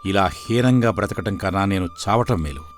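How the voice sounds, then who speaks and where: Man playing a weary, low-voiced henpecked husband. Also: moderate pace, soft background music, natural voice. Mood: despairing. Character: long-suffering, weary, gentle husband.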